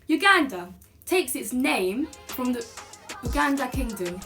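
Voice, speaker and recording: A woman's voice: sits high, very animated, measured pace, fairly bright tone, faint music behind. A young woman reading aloud in a casual chat, stumbling over words and giggling. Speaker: guest co-host.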